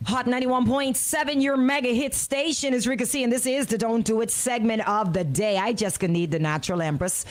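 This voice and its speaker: Woman, radio host, higher-pitched voice, opinionated monologue, indignant, emphatic, folksy colloquial phrasing, repeats words for effect. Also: fairly quick, few pauses.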